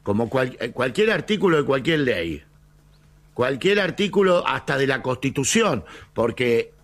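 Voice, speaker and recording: Argentine male panelist, mid-pitched, very lively intonation, argumentative and methodical. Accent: Argentine Spanish. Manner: argumentative, measured, interrupts to make legal points. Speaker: sports talk panelist. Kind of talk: heated football debate.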